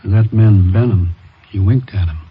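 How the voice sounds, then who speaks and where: An actor playing a discreet man reporting to a sergeant, confidential and husky, in a 1950s radio drama. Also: lowish voice, moderate pace. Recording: dull old radio recording.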